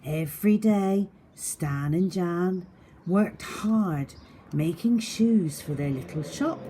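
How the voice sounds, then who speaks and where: A woman's voice: mid-range voice, warm tone, breathy edge. A woman reading a children's story aloud, addressing young listeners simply and directly like a primary teacher.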